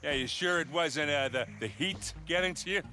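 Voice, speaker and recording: A man's voice: fairly high pitch, normal speed, bright, forceful tone, soft background music. A man voicing a big, good-natured, boyish oaf adventurer in a comic video game, jokey and boastful. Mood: joking.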